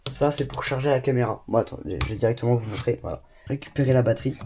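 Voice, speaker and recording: A man with a cold gives an excited tour of his small home studio. He chats casually and keeps drifting into asides and fillers. His voice sits at an ordinary middle pitch. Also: quick pace, a little hiss or hum. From Bordeaux, France. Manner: chatty, enthusiastic, many fillers and asides. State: has a cold. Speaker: YouTuber showing his studio.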